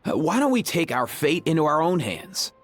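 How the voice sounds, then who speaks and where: A lively, teasing young vet. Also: male voice, a little high, moderate pace. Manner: easygoing, teasing, laughs a lot. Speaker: dragon vet. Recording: game cutscene with music behind.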